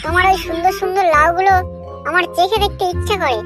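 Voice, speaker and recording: A man voicing a lustful young village lover in a comic cartoon, crude and full of flattery. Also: very high-pitched, fast talker. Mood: pleading, flattering. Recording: loud children's music behind. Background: children's music.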